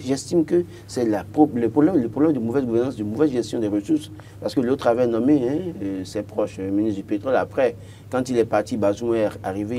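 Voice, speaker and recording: A man's voice: mid-range voice, dark tone, a little hiss or hum. A man in his thirties from Benin with a Beninese French accent, arguing as a political commentator on a TV panel and often stuttering on repeated words.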